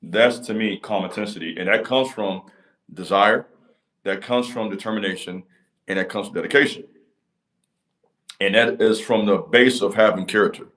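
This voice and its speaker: A man's voice: moderate pace, pauses often, fairly bright tone. A man, giving a motivational talk to camera in an emphatic, repetitive cadence. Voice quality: deep voice. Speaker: channel host.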